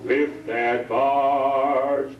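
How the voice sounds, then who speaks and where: A man singing a slow show tune at a family reunion, with long drawn-out phrases. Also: mid-range voice, little pitch movement, soft background music. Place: reunion hall. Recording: dull lo-fi home video, roomy hall, mic far off.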